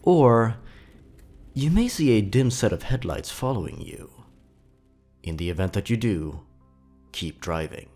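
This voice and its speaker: A man hosting and narrating horror stories in a scripted, deliberate and dramatic storytelling delivery. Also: on the low side, animated, a little mellow, soft background music.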